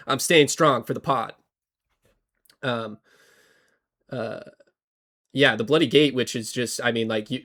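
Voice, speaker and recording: Podcast guy, bit hoarse from a cold, rambles along with lots of ums. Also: medium pitch, a bit bright. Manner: rambling, many fillers and restarts. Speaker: podcast co-host. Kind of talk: casual history chat.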